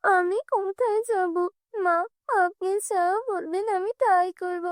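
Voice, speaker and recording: A gentle, kind young cartoon heroine, voiced by a woman with a very high, bright voice. She speaks softly and earnestly in a dry studio recording. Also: fairly even intonation, takes it slowly, no room sound. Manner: soft, earnest. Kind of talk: cartoon dubbing.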